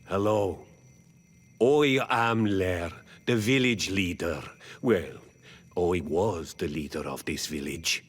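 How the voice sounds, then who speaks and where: Game role: stoic male native elder statesman, low rough voice, unhurried, grave, weary. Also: full character voice. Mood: wary, reserved. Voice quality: husky. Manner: grave, deliberate, halting. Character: weary, stoic native male village chief, resigned and wary. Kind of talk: video game dialogue acting.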